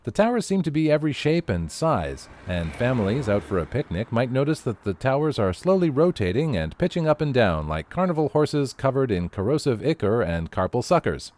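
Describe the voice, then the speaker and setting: A man's voice: medium pitch, very animated, a little fast, few pauses, a little mellow, close to an everyday voice. A man playing a field weather reporter in an audio drama, breezily delivering news of horrors like a newscaster. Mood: breezy, professional. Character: chirpy male field weather reporter amid eldritch horror.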